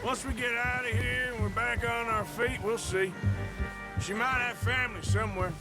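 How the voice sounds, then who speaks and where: An actor playing a rugged, world-weary American outlaw with a folksy, plain-spoken drawl in a Western video game. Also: male voice, very high voice, fairly even intonation, normal speed. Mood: weary, matter-of-fact. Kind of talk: video game dialogue acting. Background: loud background music.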